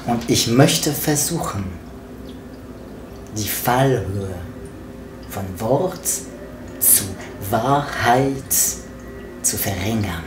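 Slow, echoey man reciting. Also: mid-range voice, lively intonation, background noise. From France. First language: French. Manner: deliberate, theatrical pauses. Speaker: author and spoken word artist presenting own book. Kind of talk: poetic spoken word book trailer monologue. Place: small home kitchen, indoors. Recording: roomy echo, music behind. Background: music.

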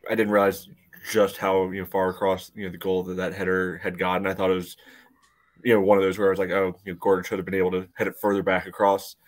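A man's voice: low-pitched, little pitch movement, quick pace. A middle-aged man rambling casually about sports on a video call. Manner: rambling, peppered with "you know". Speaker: sports podcast panelist. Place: indoor room on a video call. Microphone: video call microphone.

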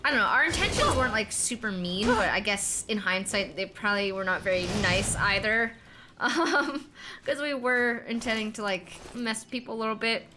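A young woman streaming a game, with a very high-pitched, childlike voice, telling a rambling, self-deprecating story with laughs and swearing. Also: moderate pace, background noise. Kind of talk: casual gaming stream chat.

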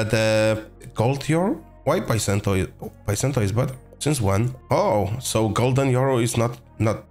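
A young man with a voice hoarse from being sick, chatting casually through a game video and getting excited over rare drops. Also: on the low side. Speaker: gaming video host. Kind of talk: casual gameplay commentary.

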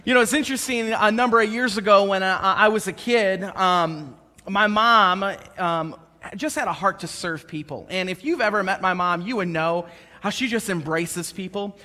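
Bright-voiced preacher in his thirties, higher-pitched man, lively storytelling sermon, long reverb of a church hall. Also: moderate pace. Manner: conversational and animated, gesturing while pacing the stage. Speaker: pastor. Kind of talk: sermon with personal stories. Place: church stage, large indoor room.

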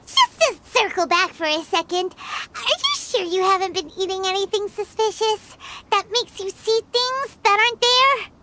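A woman voicing a tiny, excitable, cheeky fairy companion in a video game, squeaky and childlike, with cutesy exclamations. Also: normal speed, hardly any pauses, background noise. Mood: doubtful. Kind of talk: scripted video game dialogue.

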